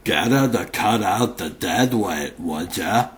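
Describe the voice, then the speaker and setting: A man voicing a gruff, sarcastic pig strongman superhero in a comic dub. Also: fairly low pitch, animated, takes it slowly. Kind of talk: comic book dub acting.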